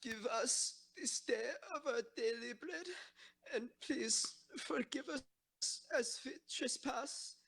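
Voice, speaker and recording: A woman playing a terrified, doomed young girl in an audio drama, crying and halting. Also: fairly high pitch, vocal fry, fairly bright tone, heavily acted. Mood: terrified, sobbing. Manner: halting, tearful. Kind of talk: fan audio drama acting.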